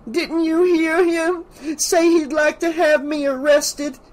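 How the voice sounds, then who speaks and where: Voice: medium pitch, unhurried, fairly bright tone, husky. A narrator performs a scheming, spiteful woman from an audiobook novel. She is imperious and hammy, with a theatrical air of wounded dignity and menace. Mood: feigned distress.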